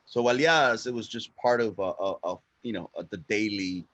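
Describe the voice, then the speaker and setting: A man from Honduras with a fairly low voice talks casually as an interview guest. He tells things in a repetitive, conversational way, often tagging on "right?", and the sound is close and dry. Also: normal speed, fairly bright tone, no room sound, lo-fi sound. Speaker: guest, cigar brand ambassador.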